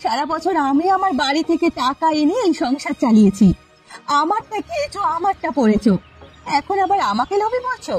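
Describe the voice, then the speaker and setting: A woman's voice: extremely high pitch, sing-song, brisk, soft background music. A woman voicing a domineering, resentful village wife in a cartoon folk tale, nagging and threatening. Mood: offended, angry.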